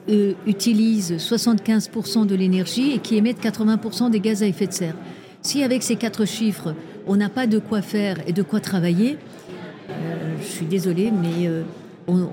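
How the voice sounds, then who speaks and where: A woman originally from Iran speaks as an interview guest, earnest and persuasive. She sets out figures and points one by one in a mid-range voice. Audible crowd chatter sits behind her. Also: mid-range voice. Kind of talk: conversational interview.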